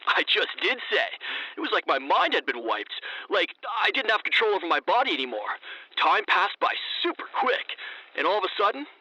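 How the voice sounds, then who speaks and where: An actor playing a young, goofy stoner bro phoning a radio show in a comedy audio drama, slangy and gushing. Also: male voice, high voice, sing-song, moderate pace, sharp tone, no room sound, full character voice, rough recording. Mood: earnest, dazed. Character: gushing, laid-back young male stoner-bro caller.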